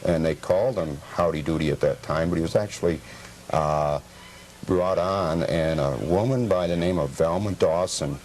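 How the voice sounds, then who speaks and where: Low-voiced American man, a collector being interviewed. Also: darkish tone. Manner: measured, factual, slightly formal. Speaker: guest, memorabilia collector. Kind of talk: informative interview answers. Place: television studio. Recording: noisy, deteriorated old cable TV tape.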